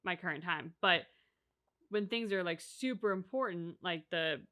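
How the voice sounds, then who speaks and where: Bright, forward-voiced woman chatting. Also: on the low side, unhurried. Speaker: co-host of a reaction channel. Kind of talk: casual analytical chat.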